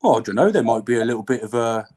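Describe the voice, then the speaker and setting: A man's voice: mid-range voice, animated, darkish tone, dry recording, clean studio sound, lightly acted. A man in his forties calling into a live show, putting on a northern English miner's accent and trolling the hosts. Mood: provoking. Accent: put-on northern English. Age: 40s. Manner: provocative, trolling, quick-talking. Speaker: caller. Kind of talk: prank call on a live show.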